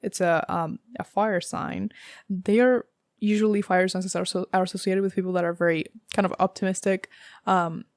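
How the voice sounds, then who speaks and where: A young woman with a raspy, sore-throated voice, giving a casual tarot reading in a rambling way, full of fillers and the odd swear word. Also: on the low side, fairly even intonation, moderate pace, no echo, clean studio sound. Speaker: tarot reader.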